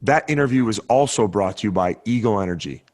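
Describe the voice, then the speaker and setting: A podcast co-host doing a loose, crude ad read in casual talk. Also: male voice, on the low side, animated, fast, darkish tone.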